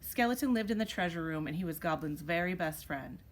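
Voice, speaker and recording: A woman's voice: fairly low pitch, expressive, fairly quick. A woman teacher reading a children's storybook aloud expressively.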